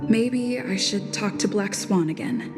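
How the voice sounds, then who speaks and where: An actress playing a young, doubtful adventurer thinking to herself in a video game. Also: female voice, mid-range voice, a little slow, lightly acted. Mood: pensive, doubtful. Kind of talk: video game voice acting, inner monologue. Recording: loud game music behind.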